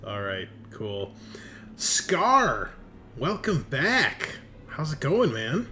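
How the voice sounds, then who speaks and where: A man with a lively, swinging delivery and a medium-pitched voice hosts a tabletop game session. He chats casually and swears freely in a laid-back manner, with a little noise behind him. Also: very slow. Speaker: host and game master of a tabletop RPG podcast. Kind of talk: casual banter introducing players.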